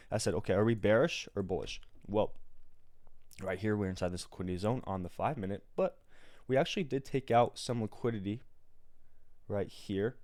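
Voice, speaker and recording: An American man with a raspy morning voice, explaining things step by step in an informal, slangy livestream tutorial style. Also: on the low side, darkish tone, dry recording. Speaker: day trader and livestream host. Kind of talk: casual live trading tutorial.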